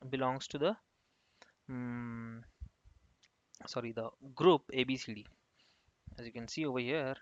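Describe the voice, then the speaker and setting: A man giving an unscripted software tutorial, explaining step by step in halting phrases as an instructor. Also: mid-range voice. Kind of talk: screen-share tutorial narration, unscripted. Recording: dull, lo-fi close microphone.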